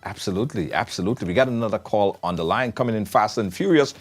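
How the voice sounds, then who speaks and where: A man hosting a live call-in show, directing callers and moving each call along briskly. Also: fairly low pitch. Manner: brisk, directive, quick to move calls along. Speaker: radio show host taking calls.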